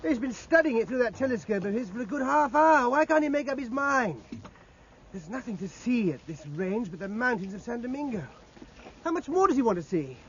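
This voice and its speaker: Impatient young British naval officer, high, swooping voice. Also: male voice, big pitch swings, fairly quick, fairly bright tone, breathy edge, full character voice. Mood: exasperated. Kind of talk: 1950s radio acting. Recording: dull old radio recording, faint music.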